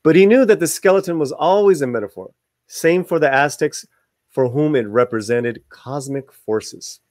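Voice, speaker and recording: A man's voice: on the high side, normal speed, a little mellow, no room sound, studio quality. A man hosting a video, reading an article aloud with informal asides to viewers and some stumbles over the words. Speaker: video channel host.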